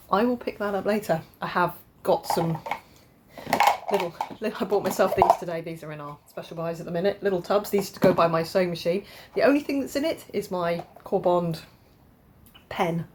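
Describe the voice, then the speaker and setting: A low-voiced woman talks to camera in a relaxed, rambling way, full of ums and false starts. Her nose is blocked from a cold, which thickens her voice. There is a faint hiss in the room behind her. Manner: chatty, rambling, lots of ums and restarts. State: stuffy-nosed from a cold. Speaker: crafting vlogger. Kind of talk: casual chat to camera. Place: home conservatory.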